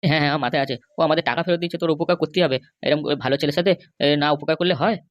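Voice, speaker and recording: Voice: medium pitch, rapid-fire, natural voice. A performer voicing a compliant but grumbling, worried Bengali husband and father in a comedy cartoon, with a placating, hesitant manner. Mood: agreeable. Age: middle-aged. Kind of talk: animated comedy cartoon dialogue.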